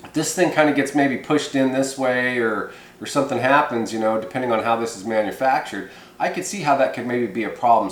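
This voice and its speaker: A man giving an informal, unscripted hands-on tutorial, explaining things in a rambling, hesitant way with frequent pauses. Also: fairly low pitch. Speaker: presenter of a CB radio how-to video. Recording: echoey room with background noise.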